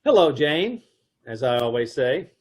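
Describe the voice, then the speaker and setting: A man's voice: medium pitch, big pitch swings, unhurried, darkish tone, slight background noise. A man playing a wry middle-aged husband who narrates an old-time radio comedy. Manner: dry, wry storytelling.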